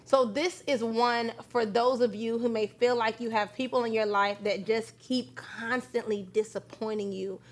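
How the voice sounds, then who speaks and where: A woman hosts a panel talk show in a fairly high voice. She is conversational and folksy, and leans on her points with emphasis. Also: steady tone, normal speed, hardly any pauses, a little hiss or hum. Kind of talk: lively panel discussion.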